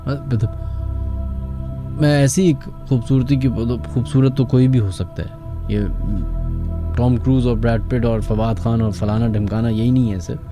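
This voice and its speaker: A man's voice: mid-range voice, fairly even intonation, normal speed, over music. A man with a Pakistani accent hosting a radio show, telling stories conversationally and musing aloud with rhetorical questions. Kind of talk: conversational late-night radio storytelling.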